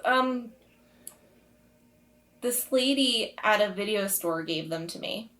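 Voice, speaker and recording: A woman's voice: medium pitch, slow, fairly bright tone. Woman playing a tabletop roleplaying game. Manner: informal, conversational. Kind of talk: casual in-character improvisation.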